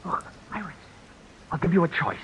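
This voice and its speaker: An actor playing a mild, apologetic gentleman murderer with genteel, hesitant menace in a 1940s radio drama. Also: sits high, normal speed, muffled recording. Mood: reluctant menace. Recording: noisy vintage radio broadcast.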